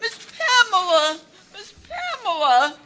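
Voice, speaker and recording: A woman plays a fussy middle-aged housekeeper from an old-time radio mystery, her voice very high and bright, swooping wildly. She calls out slowly and wails through sobbing, breathless cries. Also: very animated, slight background noise, full character voice, low-quality recording. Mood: panicked. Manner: wailing, sobbing, breathless exclamations. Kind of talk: 1940s radio acting. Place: stage, performed live.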